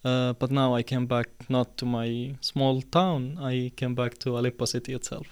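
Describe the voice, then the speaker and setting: A man in his twenties from Syria, answering interview questions in a casual, hesitant way with many pauses and fillers. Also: medium pitch, steady tone, clean tone. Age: 20s. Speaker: podcast guest.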